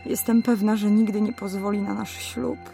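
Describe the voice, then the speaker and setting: A woman's voice: mid-range voice, level tone, normal speed, creaky, warm tone, faint music behind, close to an everyday voice. An actress playing an earnest, emotional young woman in love and at odds with her father in a historical radio drama. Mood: hurt, indignant. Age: young adult.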